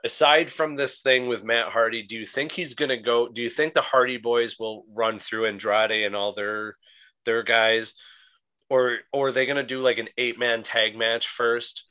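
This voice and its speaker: A man co-hosting a casual wrestling podcast, his voice raspy today, talking with easy restarts. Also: mid-range voice, fairly even intonation, moderate pace, pushed and bright. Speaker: podcast co-host.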